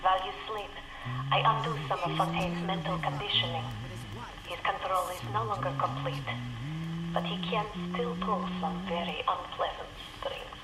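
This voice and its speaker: An actress playing a solemn, maternal scientist in a video game, speaking in a grave, measured, formal way. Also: female voice, on the low side, very noisy, close to an everyday voice. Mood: grave, explaining. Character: solemn, maternal woman scientist guiding her child. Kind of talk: video game character narration. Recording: echoey, radio-like, music behind. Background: music.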